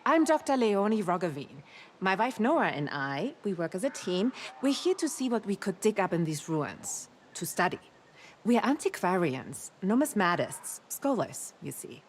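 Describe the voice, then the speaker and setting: A mid-range female voice performing a sociable antiquarian scholar in a fantasy game. She talks in short explanatory bursts with lively intonation and slight noise behind. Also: medium pitch, moderate pace, natural voice. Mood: eager, explanatory. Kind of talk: fantasy game dialogue acting.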